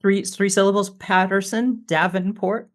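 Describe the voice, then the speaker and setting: A man's voice: high voice, steady tone, normal speed. A man hosting a podcast interview in a casual, enthusiastic fan's manner. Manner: enthusiastic fan, effusive. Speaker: podcast host.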